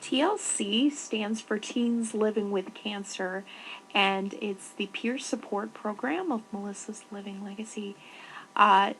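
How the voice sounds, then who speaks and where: Female coordinator, interview answers: slow, explanatory, fry in the voice, faint hiss. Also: medium pitch, a bit bright, poor audio. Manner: explanatory, searching for words. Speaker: programs coordinator.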